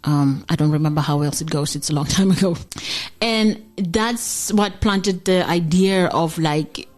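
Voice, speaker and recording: A woman's voice: lowish voice, unhurried, a little mellow. A middle-aged woman from South Africa, a jazz singer chatting as an interview guest, reflective and full of fillers and restarts. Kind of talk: casual interview chat.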